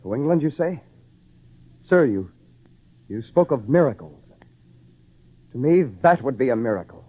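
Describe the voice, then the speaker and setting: A man's voice: medium pitch, expressive, normal speed, a little hiss or hum, close to an everyday voice. A gallant, commanding castaway acted by a man with an earnest, declamatory delivery that rises and falls widely. It is old radio drama with a narrow, tinny sound. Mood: earnest, grateful. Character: resolute long-marooned castaway, gallant and commanding. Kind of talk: 1940s radio drama acting.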